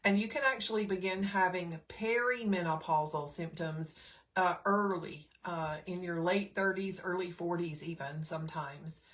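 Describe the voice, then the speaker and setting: A middle-aged woman hosts a casual live stream, her throat still a little scratchy. She talks in a fairly low, relaxed voice, chatting along with plenty of fillers and pausing to greet viewers by name. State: slightly scratchy throat, clearing it often. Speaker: nurse and health educator hosting a live stream.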